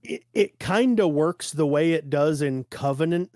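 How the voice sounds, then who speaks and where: Higher-voiced man chatting, close dry sound. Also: unhurried, darkish tone, dry recording. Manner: informal, conversational. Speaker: podcast host. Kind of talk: casual podcast discussion.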